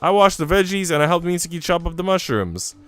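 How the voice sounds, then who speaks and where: A man voicing an excitable, sweet and insistent little girl in a visual novel read-aloud, childlike and eager. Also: sits high, fast, no echo, soft background music, voice pushed up. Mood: excited.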